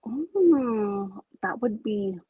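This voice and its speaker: A woman's voice: medium pitch, animated, drawn-out words, husky, no room sound, phone-quality sound. A woman with a Filipino accent, an interview guest and coach, talking in a chatty, enthusiastic way on a video call. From Philippines.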